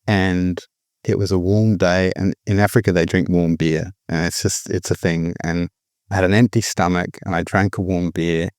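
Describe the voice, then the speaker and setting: A man's voice: sits low, darkish tone, no room sound, studio quality. A middle-aged man, candidly telling his personal recovery story in a reflective way. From born in the Philippines, raised abroad. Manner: reflective, candid, with frequent restarts. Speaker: guest sharing recovery story.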